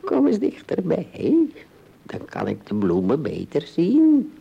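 Sly, gravelly, slow-talking wolf. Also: male voice, on the high side, wildly swooping pitch, dull audio, exaggerated voice. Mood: sweetly deceitful. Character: sly big bad male wolf, hungry and scheming. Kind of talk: fairy tale radio play acting.

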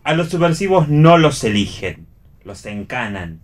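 A man's voice: fairly high pitch, sing-song, unhurried. A man with an Argentine accent playing a contrary, sardonic, restless character in a read radio play. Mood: dry. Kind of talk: read radio theatre dialogue.

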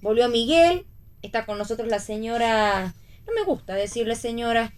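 An actress playing a spirited, sharp-tongued, defiantly cheerful woman who teases directly in a read radio play. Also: on the high side, lively intonation, moderate pace, natural voice. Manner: teasing, direct, emphatic. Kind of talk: staged reading of a radio play.

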